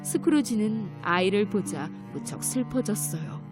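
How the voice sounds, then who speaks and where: A woman narrating a children's fairy tale in a gentle, clear storytelling manner. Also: mid-range voice, animated, moderate pace. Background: music.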